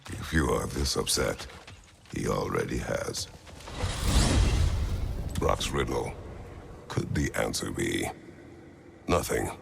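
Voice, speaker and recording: A man playing a stern, battle-worn warrior father in a video game, terse and formal, with a gravelly, rumbling voice. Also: lively intonation, takes it slowly, pauses often, heavy background noise, full character voice. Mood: grave, curt. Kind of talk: video game cutscene acting.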